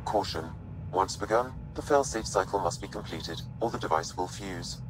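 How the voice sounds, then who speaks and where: Game ship AI, male actor: low, calm, exact, formal, music underneath. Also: close to an everyday voice, low-quality recording. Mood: calm, precise. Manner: measured, precise, formal announcements. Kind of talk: video game voice acting.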